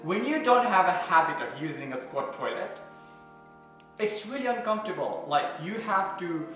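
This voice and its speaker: A man's voice: high voice, sing-song, normal speed, bright, forceful tone, faint music behind. Chatty Nepali vlogger man in echoey room. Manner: chatty, candid, frequent fillers and asides. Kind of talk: casual vlog talk to camera. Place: apartment kitchen.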